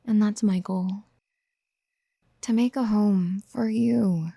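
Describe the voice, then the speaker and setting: Casting: actress as obsessive motherly yandere for ASMR roleplay, very mellow and breathy, slow whispered coaxing, tender and possessive. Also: medium pitch, steady tone, no echo, clean studio sound. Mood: adoring. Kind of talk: soft-spoken ASMR roleplay acting. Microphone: close mic.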